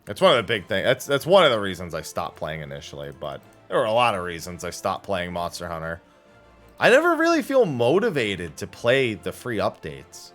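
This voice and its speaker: Male game streamer, deep register with swinging intonation, blunt and opinionated off-the-cuff talk, music underneath. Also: huge pitch swings, brisk, fairly bright tone. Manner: blunt, opinionated, casual swearing. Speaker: livestreamer. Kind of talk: casual gaming stream chat.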